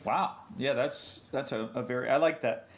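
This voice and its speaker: A man with a medium-pitched voice hosts a podcast. He chats casually with his guest, putting questions informally and responding as he goes. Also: normal speed. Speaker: podcast host. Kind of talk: casual interview chat.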